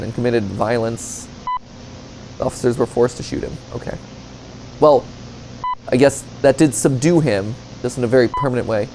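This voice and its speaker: A man's voice: medium pitch, a little mellow, a little hiss or hum, poor audio. A man doing casual reaction commentary, reading on-screen text aloud and adding sarcastic, joking asides and exclamations. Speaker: reaction video host. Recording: music behind the voice.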